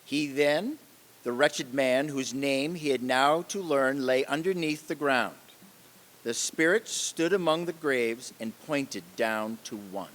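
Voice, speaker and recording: A man's voice: mid-range voice, takes it slowly, a bit bright. A man playing the solemn narrator of a ghost story in a radio play reading. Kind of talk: scripted storytelling in an old-time radio play.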